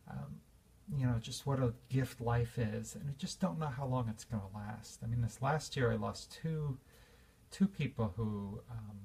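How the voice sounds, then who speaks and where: A man's voice: lowish voice, vocal fry, a little mellow, breathy edge, distant mic. A man in his fifties giving an earnest, reflective spiritual talk, with fillers and restarts. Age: 50s. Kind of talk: informal spiritual talk to an audience.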